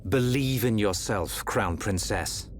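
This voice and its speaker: A composed, courtly prince. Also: male voice, fairly low pitch, slow, a little hiss or hum. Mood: reassuring. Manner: formal, precise, courtly. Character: composed, dignified adult prince and loyal advisor. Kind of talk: dramatic video game cutscene acting.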